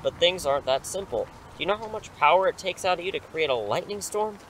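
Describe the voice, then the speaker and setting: A man's voice: high-pitched, animated, a little fast, a bit bright, rough recording. Man as cocky superhero schoolteacher, breezy, persuasive, theatrical, audio drama acting, rain and city noise behind. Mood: easygoing. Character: eager, cocky male English teacher secretly a superhero.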